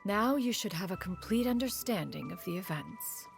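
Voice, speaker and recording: Stately, formal immortal crane master. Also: female voice, medium pitch, expressive, moderate pace, creaky. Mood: stately, reminiscing. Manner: formal, archaic, stately, speaks of herself as "one". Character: proud, aloof crane adeptus woman, a caring immortal master. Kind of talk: scripted game dialogue. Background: music.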